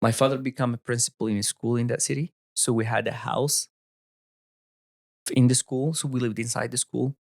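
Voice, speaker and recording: Gravelly Moroccan man, halting English. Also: medium pitch, steady tone. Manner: halting, searching for English words, restarts. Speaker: podcast guest. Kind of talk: casual storytelling interview.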